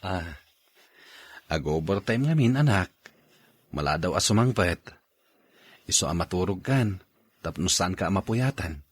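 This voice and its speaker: A man playing a devoted husband and father in a radio drama, earnest and gentle, with a deep husky voice and an Ilocano accent. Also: lively intonation, unhurried, long pauses, no room sound, exaggerated voice.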